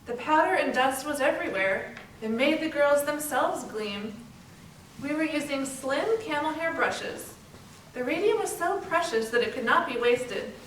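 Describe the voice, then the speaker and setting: A woman's voice: high voice, lively intonation, unhurried, fairly bright tone. A woman playing a young, eager dial painter recalling her factory job in a readers theater piece. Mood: eager recollection. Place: library readers theater performance, distant microphone. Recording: roomy, distant mic, noisy stage recording.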